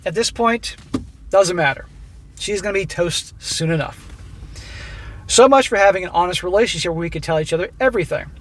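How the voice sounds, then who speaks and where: A man hosting a channel who reads a listener's story aloud and comments on it in a blunt, profane, coaching way, his voice going hoarse. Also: high-pitched, a little fast, pauses often, a little hiss or hum. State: losing his voice. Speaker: host reading listener stories.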